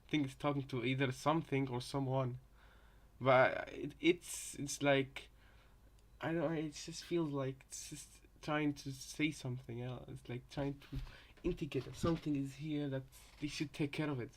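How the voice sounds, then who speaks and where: A man with a medium-pitched voice gives casual commentary. He rambles and hesitates, working out his thoughts aloud as he reacts to game voice lines. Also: fairly even intonation, normal speed, slight background noise.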